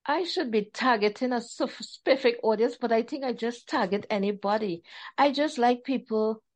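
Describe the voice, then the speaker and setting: A woman from Trinidad and Tobago, an author chatting in an interview, animated and acting out the voices she quotes. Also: on the high side, normal speed. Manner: animated, laughing storyteller who acts out quotes. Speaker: author guest. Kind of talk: casual interview chat.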